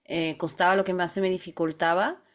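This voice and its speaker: A Bulgarian woman speaking Spanish with a Mexican accent, talking fluently and in a relaxed way as a guest. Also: lowish voice, brisk. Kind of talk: casual conversation.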